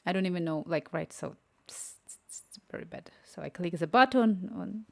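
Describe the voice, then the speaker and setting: A woman's voice: fairly low pitch, expressive, moderate pace, vocal fry. Ukrainian woman live-coding and chatting. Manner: chatty, thinks aloud, reads chat messages and dictates prompts. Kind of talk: casual live-stream commentary while coding.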